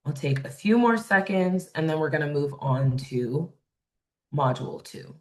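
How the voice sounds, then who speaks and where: Woman running a design webinar, loud voice, chatty, keeps saying right. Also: sits low, expressive, a little slow. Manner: conversational, tags points with "right?". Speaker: workshop facilitator. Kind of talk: webinar presentation.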